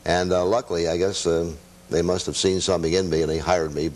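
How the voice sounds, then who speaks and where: An older man, a veteran radio guest, chatting casually and reminiscing in a halting, self-deprecating, anecdotal way. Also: sits low, little pitch movement, darkish tone.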